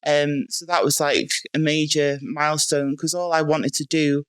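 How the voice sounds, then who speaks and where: Young Welsh woman for a casual guest spot; smooth, level voice, plain and unhurried. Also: low-pitched, no echo. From North Wales. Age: 20s. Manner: relaxed, matter-of-fact, frequent ums and likes. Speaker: guest, strongwoman athlete. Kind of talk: casual podcast interview. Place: small dry room in a house.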